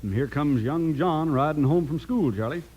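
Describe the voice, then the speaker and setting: A folksy cowpoke in a vintage radio ad. The voice is a touch high. The delivery is scripted salesman patter dressed up as trail talk. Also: lively intonation, normal speed, husky, highs cut off. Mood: friendly, selling. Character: folksy cowpoke in a cereal advertisement.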